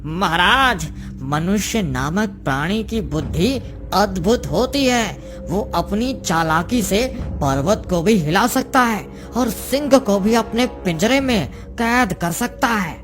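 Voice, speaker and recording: A woman's voice: medium pitch, lively intonation, takes it slowly, over music. A woman voicing the humble soul of a male elephant in a cartoon moral story, deferential and earnest. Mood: earnest, respectful.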